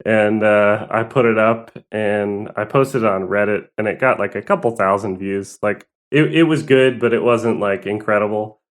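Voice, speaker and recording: A man's voice: lowish voice, fairly even intonation, normal speed, few pauses. A young man from Southern California, a guest musician telling his story in a rambling, hesitant way. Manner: rambling, hesitant, with many fillers and laughs. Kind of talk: casual interview.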